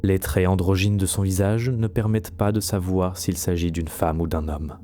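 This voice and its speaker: A man's voice: low voice, fairly even intonation, normal speed, mellow, a little hiss or hum. A man narrating a scripted fantasy audio story as a storyteller. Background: faint music.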